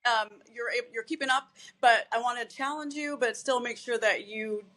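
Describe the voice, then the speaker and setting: A woman guest, a physician assistant and fitness instructor, talking in a relaxed, rambling way with many fillers. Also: fairly high pitch, moderate pace. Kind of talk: casual interview chat.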